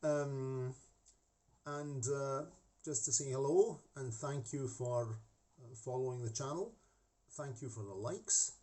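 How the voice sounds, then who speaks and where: Rambling half-Scottish man, mellow voice. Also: medium pitch, slow pace. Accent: Scottish-tinged English. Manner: rambling, many restarts and asides. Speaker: hobby model-making channel host. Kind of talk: casual chat to camera. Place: home hobby room with desk. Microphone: camera facing speaker.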